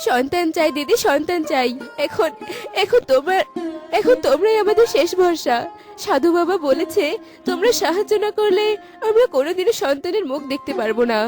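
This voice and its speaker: A very high, tearful village wife from a cartoon tale. She pleads and repeats herself with desperate, repentant feeling. Music runs underneath. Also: animated, a little fast. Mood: pleading. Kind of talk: melodramatic Bengali cartoon voice acting. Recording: loud background music.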